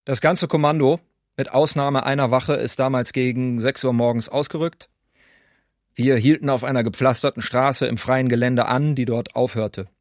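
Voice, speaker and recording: A detached perpetrator played by a man testifies in a medium-pitched voice. He is precise and matter-of-fact, with the dry sound of a documentary radio drama. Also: steady tone, moderate pace, no room sound, lightly acted. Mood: detached, factual.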